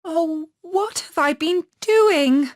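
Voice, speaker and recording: A woman's voice: extremely high pitch, slow pace, dry recording, studio quality, voice pushed up. A woman voicing an earnest, distressed little girl in a fairy tale, exclaiming plaintively. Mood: dismayed. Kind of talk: storybook character voice.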